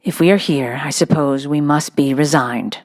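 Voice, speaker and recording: A woman reading a storybook, voicing a thoughtful seven-year-old girl with a soft, earnest, old-fashioned way of speaking. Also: low voice, normal speed, natural voice. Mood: solemn, resigned.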